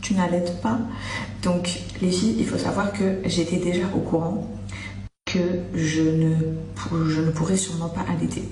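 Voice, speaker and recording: A woman's voice: fairly low pitch, steady tone, moderate pace, a little mellow. A woman vlogger telling a personal story to her followers in a candid, chatty way. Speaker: social media vlogger. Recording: roomy, faint background noise.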